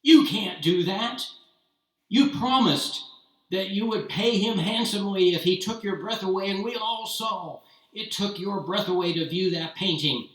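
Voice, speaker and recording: A man's voice: a little high, normal speed, fairly bright tone, voice pushed up. A man voicing a hot-headed young apprentice. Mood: outraged. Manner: indignant, outspoken. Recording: roomy, distant mic.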